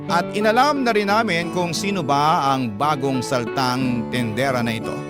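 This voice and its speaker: A Filipino man hosting a radio story program, reading a first-person letter as expressive, aggrieved dramatic narration. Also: mid-range voice, normal speed, music in the background.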